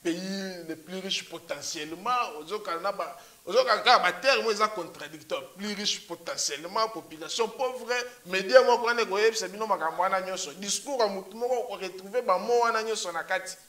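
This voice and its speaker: A man's voice: high-pitched, quick pace, hardly any pauses, bright, forceful tone. A man making emphatic points in a political discussion, repeating rhetorical questions.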